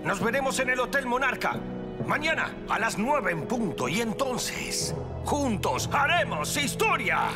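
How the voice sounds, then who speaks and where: A man with a Latin American accent playing a slick, theatrical explorer in a cartoon dub, proclaiming pompously with drawn-out drama. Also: extremely high pitch, big pitch swings, heavily acted. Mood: boastful, rousing. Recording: loud music behind.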